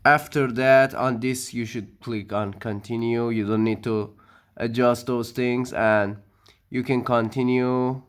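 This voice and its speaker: Slow, halting man, native Persian speaker. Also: mid-range voice, steady tone, smooth. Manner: halting, many fillers and restarts, non-native phrasing. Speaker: tech tutorial maker. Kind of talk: screen-recorded walkthrough narration.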